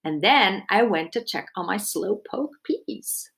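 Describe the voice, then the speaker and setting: A woman's voice: mid-range voice, animated, takes it slowly, gravelly, very noisy. A woman reading a children's book aloud expressively, with simple explanatory asides as if to young children.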